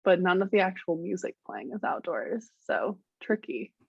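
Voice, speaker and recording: A soft-spoken woman, a musician guest in a casual interview, talking hesitantly with many fillers and restarts. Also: on the low side, sing-song, normal speed, creaky, slightly rough, no echo, dull audio. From Richmond, Virginia, United States.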